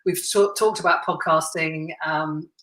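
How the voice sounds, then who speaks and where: A woman hosting a podcast, who chats and laughs easily with her guest and says she has a deep voice. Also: steady tone, measured pace. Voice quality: self-described deep voice. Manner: chatty and warm, laughs often. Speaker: podcast host. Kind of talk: casual interview chat. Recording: roomy echo.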